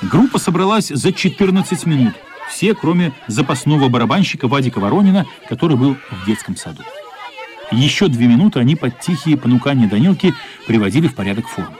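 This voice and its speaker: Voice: mid-range voice, sing-song, quick pace, a little mellow, a faint crowd. A narrator telling a story in scripted prose for a radio play.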